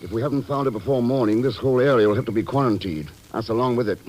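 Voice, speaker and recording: An actor playing a suave, commanding secret agent, terse and dry in the manner of 1940s radio drama. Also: male voice, medium pitch, racing, a little mellow, a little hiss or hum, natural voice, low-quality recording. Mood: grim, commanding. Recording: old radio broadcast, music behind.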